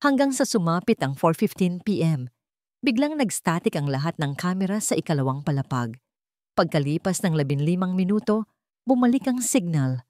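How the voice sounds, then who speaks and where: A woman with a slightly low voice narrates a dramatic love story from a script. The recording is dry, with very little room sound around her. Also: darkish tone, no echo.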